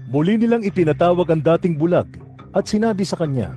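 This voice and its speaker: Mellow, fairly high-voiced male narrator. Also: expressive, fast talker, soft background music. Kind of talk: scripted scripture narration.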